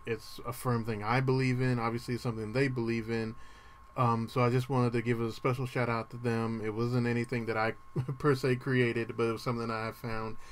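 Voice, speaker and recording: Guy w a dry voice hosting a music show, casual and rambly, lots of ums, clears his throat a bunch. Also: medium pitch, a little hiss or hum. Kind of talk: casual radio-style show talk.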